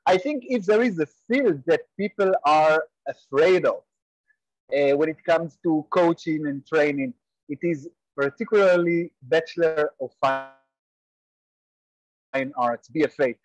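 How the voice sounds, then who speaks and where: Man, Israeli accent, earnest explainer, slightly high pitch, video-call earphone mic. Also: measured pace, dry recording, rough recording. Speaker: guest professor. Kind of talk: webinar interview answer. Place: home room on a video call, virtual background.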